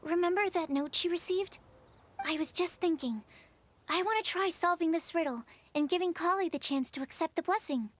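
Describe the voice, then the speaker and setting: A woman voicing a shy, earnest young alchemist in a video game, speaking softly and thoughtfully. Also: extremely high pitch, moderate pace, a little hiss or hum. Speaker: alchemist researcher.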